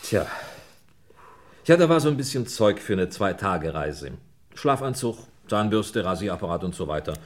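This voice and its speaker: An actor playing a brisk, dry homicide inspector in a German radio crime play, musing in clipped phrases with little questioning grunts. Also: male voice, lowish voice, very animated. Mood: pondering.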